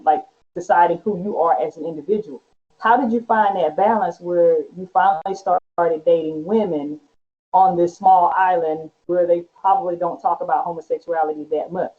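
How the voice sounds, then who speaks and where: A woman's voice: fairly low pitch, mellow. A woman hosting an interview, asking questions and answering with short encouragements like "wow". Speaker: host. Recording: dull lo-fi sound.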